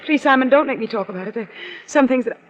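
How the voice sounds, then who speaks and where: A woman's voice: sits high, very fast, lightly acted. An actress playing a nervous, frightened female film star in a 1940s radio drama, hesitant and pleading. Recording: dull 1940s radio recording.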